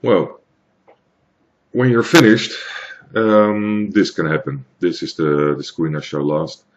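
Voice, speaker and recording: Very deep-voiced Dutch man explaining. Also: level tone, slow pace, muffled recording. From Netherlands. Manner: hesitant, many fillers and restarts, plain explanatory. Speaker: tutorial maker. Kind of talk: screen-recorded software tutorial.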